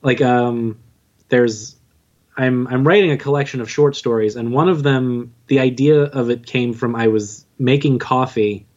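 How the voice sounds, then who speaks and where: A dull, lo-fi recording of a man chatting as a podcast guest. He has a mid-pitched voice and talks casually about himself in a joking, self-deprecating way. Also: measured pace, darkish tone. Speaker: podcast guest, audio drama writer and narrator. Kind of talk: casual interview chat.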